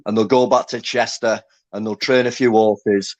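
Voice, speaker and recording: A man's voice: lowish voice, steady tone, moderate pace, a little mellow, no room sound, highs cut off. A man from Lancaster hosting a fan forum, chatting in an enthusiastic, matey way. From Lancaster, England. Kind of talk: casual fan chat.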